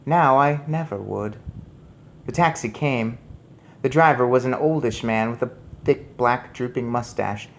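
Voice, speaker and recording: A man's voice: medium pitch, a little slow, slight background noise. A man narrating a children's story expressively as he reads aloud. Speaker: storybook reader.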